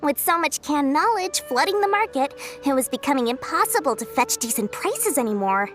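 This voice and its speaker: A self-serving female merchant for a video game. Her voice is high and very animated, with a breezy, cagey manner and faint music underneath. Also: brisk. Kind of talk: English video game voice acting.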